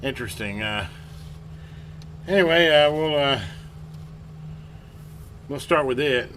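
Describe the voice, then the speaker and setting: A man giving casual hands-on commentary as a hobbyist host, halting and muttering to himself as he works. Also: medium pitch, expressive, fairly bright tone, slight background noise. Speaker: vintage radio hobbyist hosting a repair video.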